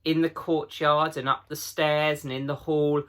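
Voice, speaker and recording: A man voicing a gruff, proud old talking beaver in a children's storybook, folksy and emphatic. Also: a little high, flat delivery, measured pace, a bit bright, voice pushed up. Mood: grave, gloomy.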